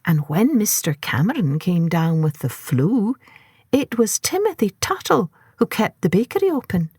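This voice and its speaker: A woman narrating a children's story expressively, with deliberate, dramatic phrasing. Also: medium pitch, very animated, darkish tone, slightly rough, no echo, studio quality.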